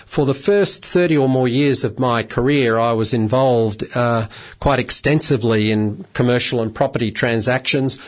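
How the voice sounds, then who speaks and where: A middle-aged Australian man hosting a radio phone-in legal advice show, measured and polite, with many filler sounds. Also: mid-range voice. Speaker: radio phone-in host, solicitor. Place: radio studio.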